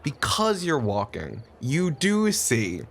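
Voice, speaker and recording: A man's voice: fairly high pitch, wildly swooping pitch, slow pace, fairly bright tone, a little hiss or hum. A man telling an improvised comic story as a guest on a tabletop game show, casual and amused.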